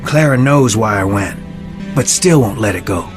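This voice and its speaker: A man's voice: lowish voice, lively intonation, normal speed, soft background music, close to an everyday voice. An actor voicing a reserved, earnest young man in a video game, speaking in a guarded, confiding manner.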